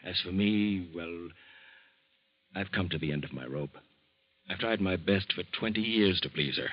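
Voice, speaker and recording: An actor playing a gentle, worn-out older uncle who speaks softly and haltingly in an old radio drama. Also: male voice, low voice, expressive, no room sound. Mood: despairing. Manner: soft-spoken, halting. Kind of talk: 1940s radio acting. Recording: dull old radio recording.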